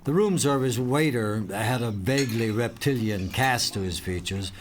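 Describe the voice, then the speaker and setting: A man's voice: mid-range voice, moderate pace, slight background noise, lightly acted. An actor playing a drug-addled journalist who narrates his misadventures in a wry, florid, sardonic way. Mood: wry, hazy. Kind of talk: scripted first-person narration.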